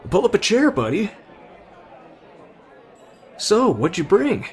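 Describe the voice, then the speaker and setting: A man's voice: sits high, wildly swooping pitch, slow pace, a faint crowd, heavily acted. A man playing an easygoing, friendly but tough teenage delinquent in an anime roleplay, casual and slangy. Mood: friendly upbeat. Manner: casual, slangy, addresses listener as buddy. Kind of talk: anime fan ASMR roleplay.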